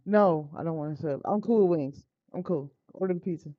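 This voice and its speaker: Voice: mid-range voice, a little fast, dry recording. An androgynous-sounding American game streamer speaks in a mid-range voice, informal and unfiltered. The talk is casual, muttered and sweary, aimed at live viewers. The sound is dry and close but a little dull and lo-fi. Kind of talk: casual live game stream chat with read-aloud visual novel text.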